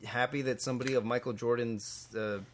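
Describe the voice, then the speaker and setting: A man's voice: medium pitch, steady tone, fairly quick, slight background noise. A man hosting a casual group podcast, steering the conversation between topics.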